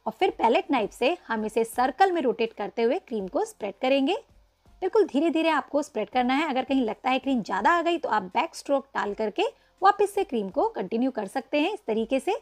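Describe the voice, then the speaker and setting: High-voiced woman guiding a baking tutorial. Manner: patient, instructive, addresses viewers as friends. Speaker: cooking channel host. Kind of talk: step-by-step baking tutorial voice-over.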